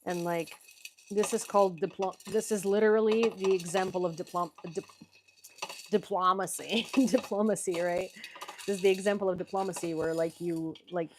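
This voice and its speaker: A woman's voice: on the low side, fairly even intonation, normal speed, noisy background. A young woman from Ukraine with a Ukrainian accent, hosting a casual livestream, chatty with many fillers and restarts. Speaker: livestream host. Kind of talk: casual livestream chat reading viewer questions. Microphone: headphones.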